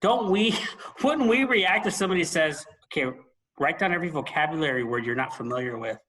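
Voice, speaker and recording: A man hosting a conversational podcast about books, speaking emphatically and pausing for dramatic effect. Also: a little high, expressive, a bit bright, poor audio. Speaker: podcast host. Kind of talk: conversational book discussion.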